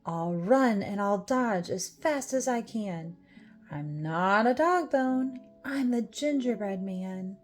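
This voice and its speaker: A plucky little storybook gingerbread man, played by a woman, bouncy and boastful in rhyme. He is small, excitable and cheeky, speaking at an unhurried pace over music. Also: medium pitch. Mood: cheeky, daring. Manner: bouncy, rhyming boasts and exclamations.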